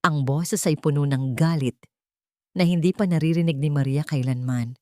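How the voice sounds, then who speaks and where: A woman's voice: low voice, normal speed, warm tone, no echo, studio quality. A woman reading a scripted love story as a dramatic narrator.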